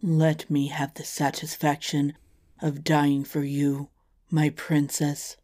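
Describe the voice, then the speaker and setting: A woman voicing a lovelorn, noble storybook king who pleads earnestly in a drawn-out, melodramatic way. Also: low voice, little pitch movement, dark tone, pitched below the performer's own voice. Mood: anguished. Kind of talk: storybook character voice.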